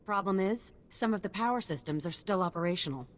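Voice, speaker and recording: An actress playing a professional military engineer in a video game, matter-of-fact in manner. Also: female voice, mid-range voice, lively intonation, a little fast, a little hiss or hum, natural voice. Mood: concerned.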